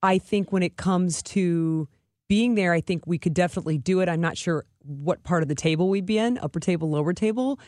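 A woman's voice: on the low side, brisk, a little mellow, dry recording, studio quality. A woman co-hosting sports radio, chatting about soccer informally and thinking aloud in loose phrases. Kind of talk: conversational soccer discussion.

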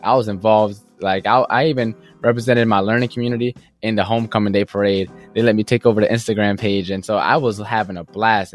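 A young man in his twenties, a guest entrepreneur, telling his story in an interview in an earnest, fast-flowing way with many "you know"s and "right?"s. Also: on the low side. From United States. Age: young adult.

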